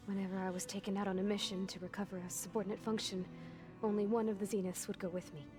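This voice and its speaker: A woman's voice: mid-range voice, level tone, fast talker, close to an everyday voice. Woman acting anxious, precise young woman over music. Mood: guarded, subdued. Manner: clipped, formal, precise wording. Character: anxious, defensive young clone woman, coolly precise, panicky under pressure. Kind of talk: video game dialogue acting. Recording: music behind the voice.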